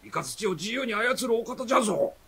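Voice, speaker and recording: Rough, shrill, domineering gatekeeper. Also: male voice, big pitch swings, quick pace, bright, forceful tone, exaggerated voice. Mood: domineering, threatening. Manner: archaic, domineering speech. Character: stern, threatening manor servant guarding the gate.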